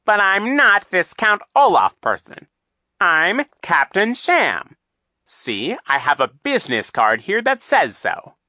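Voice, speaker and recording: A man's voice: high voice, huge pitch swings, slow, pushed and bright, no echo, clean studio sound, voice pushed up, exaggerated voice. A man voicing a scheming, boastful villainous count with a theatrical, sneering delivery. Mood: evasive. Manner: theatrical, whiny false joy then gloating growl.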